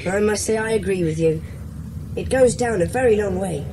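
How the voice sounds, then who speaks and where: A woman playing a bossy, sensible schoolboy leader in a children's audio drama, speaking in a brisk, decisive way. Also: medium pitch, background noise, close to an everyday voice.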